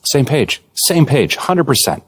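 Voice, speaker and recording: A man playing an earnest, data-minded basketball executive in a video game, speaking in a measured corporate way. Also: mid-range voice, very animated, husky, no echo. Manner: measured, businesslike, corporate jargon. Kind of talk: conversational video game cutscene acting.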